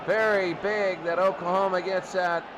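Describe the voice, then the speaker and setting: A man's voice: high voice, level tone, moderate pace, very noisy. A man hosting a hobby football game, explaining the rules and then calling the plays in an old-time radio announcer style.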